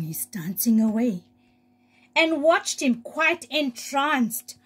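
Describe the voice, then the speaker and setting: A woman preschool teacher reading a picture book aloud expressively, with simple asides for young children. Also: fairly high pitch.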